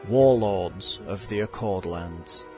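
A man narrating a dramatic movie-trailer style intro with a booming announcer delivery. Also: slow, darkish tone. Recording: narration over music.

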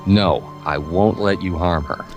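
A man playing a tormented, gloomy doctor losing his mind, with theatrical, halting delivery in an amateur radio play. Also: sits low, a little mellow, soft background music, voice pushed down. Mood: frightened, defiant. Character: tormented, gloomy doctor going mad, haunted by voices.

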